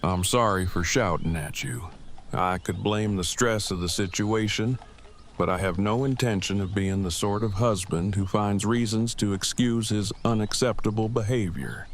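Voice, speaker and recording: A man's voice: on the low side, moderate pace, slight background noise, close to an everyday voice. A man playing a devoted husband and father in an audio drama, speaking in wordy, formal, earnest sentences. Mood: remorseful. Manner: wordy, formal, stilted phrasing. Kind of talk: fan audio drama acting.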